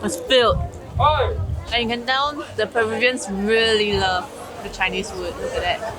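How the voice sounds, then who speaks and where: A young woman with a Singaporean accent, chatting casually as a travel vlogger while tasting street food, eager and quick to laugh. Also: mid-range voice, fairly even intonation, a crowd in the background. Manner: chatty, enthusiastic tasting remarks with frequent laughs. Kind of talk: casual food vlog commentary. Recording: music bed over the voice.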